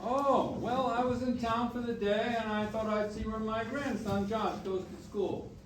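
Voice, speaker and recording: A man's voice: high-pitched, steady tone, unhurried, slight background noise. A man playing a blunt, wry and protective grandfather in a staged reading of a musical. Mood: affable. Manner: blunt, scolding, folksy. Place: echoey room, staged reading. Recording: distant echoey stage mic, piano behind.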